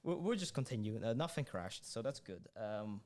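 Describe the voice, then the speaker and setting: A man's voice: mid-range voice, big pitch swings, normal speed. A young man hosting a casual gaming podcast, hesitant and full of fillers and restarts. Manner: hesitant, stammering, lots of fillers. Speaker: podcast host.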